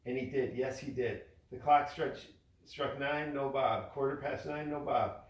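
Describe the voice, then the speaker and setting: A man's voice: medium pitch, fairly even intonation, measured pace. A man narrating a storybook aloud as a father reading to his child. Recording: echoey room, distant from mic.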